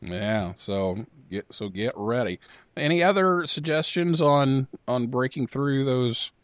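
A man hosting a radio talk show, chatting casually with a guest and pausing often on filler sounds. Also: medium pitch, lively intonation, dry recording, highs cut off. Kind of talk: casual interview chat.

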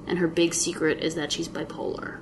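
A young woman, a TV actress, chatting casually as a guest, with quick laughing asides. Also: deep voice, level tone, background noise. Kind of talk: casual chat.

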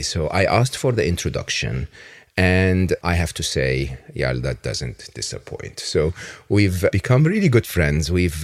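A man hosting an interview podcast, introducing his guest in a reflective way with frequent fillers. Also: deep voice. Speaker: podcast host.

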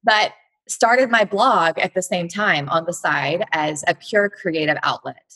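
A woman's voice: lowish voice, measured pace, bright, forceful tone, slightly rough, poor audio. A woman in her thirties from Texas, a guest in an interview, telling her story reflectively with frequent fillers. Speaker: guest, blogger and entrepreneur. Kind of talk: conversational interview.

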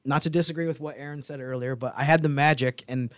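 A man with a mid-pitched voice, recorded very dry, co-hosts a sports podcast. He lays out casual analysis in a measured, explanatory manner. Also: fairly even intonation, no echo.

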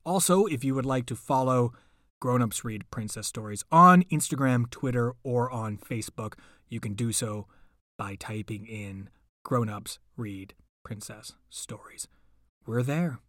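A man's voice: mid-range voice, unhurried, fairly bright tone, no room sound, clean studio sound. A man hosting a podcast, speaking casually and playfully, with emphatic, pleading repetition. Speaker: podcast host.

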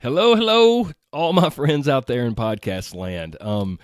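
A man hosting his podcast alone, his voice hoarse after being sick, talking in an upbeat, earnest way with frequent exclamations. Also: medium pitch, a little slow, dry recording, studio quality. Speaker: podcast host. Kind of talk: solo podcast talk.

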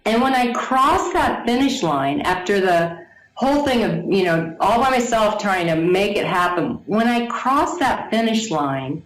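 A woman in her forties telling her story to an interviewer, animated, laughing often and acting out the people she quotes. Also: mid-range voice, measured pace. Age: 40s. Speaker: guest. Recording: echoey room.

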